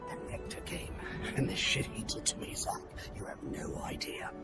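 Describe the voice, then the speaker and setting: Halting, battered male captive. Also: rumbling low, very animated, whispered, loud music behind the voice. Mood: pained, ashamed. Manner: halting, pained delivery with repeated words. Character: battered, guilt-ridden male captive confessing after torture. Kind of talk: video game cutscene acting.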